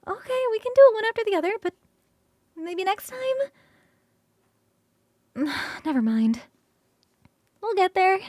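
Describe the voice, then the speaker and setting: A woman voicing a playful, hungry and affectionate succubus roommate in an ASMR roleplay, coaxing and teasing with sighs and soft, breathy turns. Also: very high voice, big pitch swings, no echo, clean studio sound, voice pushed up, heavily acted. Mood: eager, playful. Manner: coaxing, sighing, drawn-out savouring, shifting into soft whispers.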